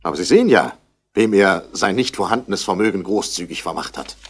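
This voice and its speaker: A man's voice: low voice, lively intonation, fairly quick, husky. An actor playing a haughty, irritable wealthy man in a 1960s radio play, speaking in a curt, formal way. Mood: cool, formal.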